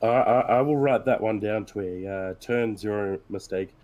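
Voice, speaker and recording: Player, male, very low voice, under the weather, halting and self-deprecating. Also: a little mellow. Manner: hesitant, apologetic, many fillers. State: sick, losing his voice. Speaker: tabletop game player. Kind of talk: post-game discussion.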